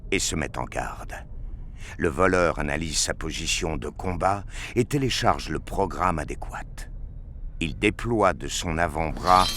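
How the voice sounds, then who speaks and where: Narrator, male: very low voice, unhurried, solemn scripted storytelling, noisy ambient bed underneath. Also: fairly bright tone.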